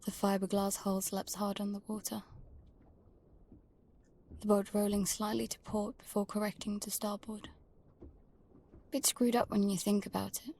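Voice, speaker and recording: A woman playing a protective young girl who narrates her life at sea in a hushed, intimate way for an audio drama. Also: medium pitch, little pitch movement, normal speed, pauses often, a little hiss or hum, lightly acted. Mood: wistful. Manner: hushed, breathy, often near whispering. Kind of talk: first-person scripted audio drama narration.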